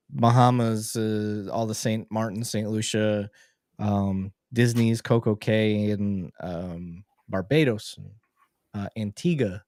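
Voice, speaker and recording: A man's voice: fairly low pitch, darkish tone, no room sound. Curious middle-aged man, dry sound. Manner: curious, asks lots of questions, laughs easily. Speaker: podcast host. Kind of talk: casual chat.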